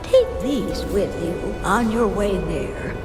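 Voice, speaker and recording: A woman playing a kind, motherly villager in a video game, speaking tenderly and with gentle encouragement. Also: medium pitch, animated, takes it slowly. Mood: tender, encouraging. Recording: echoing hall reverb, music behind. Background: music.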